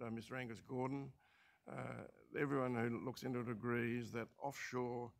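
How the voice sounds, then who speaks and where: An older Australian man chairing a council meeting as administrator, speaking in a halting way with many fillers and restarts, into a desk mic in a large council chamber. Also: medium pitch, creaky, fairly bright tone. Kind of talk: formal meeting remarks.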